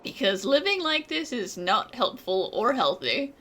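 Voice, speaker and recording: A woman's voice: fairly high pitch, big pitch swings, measured pace, fairly bright tone, exaggerated voice. A woman voicing a small, perky, blunt companion in a fantasy video game. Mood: frank, chirpy.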